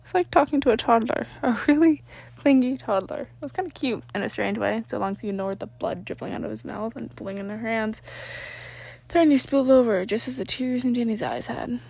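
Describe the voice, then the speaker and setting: A woman narrating fan fiction as an amateur reader, expressive and informal, sometimes stumbling and rereading words. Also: a little high, brisk, gravelly, slightly rough.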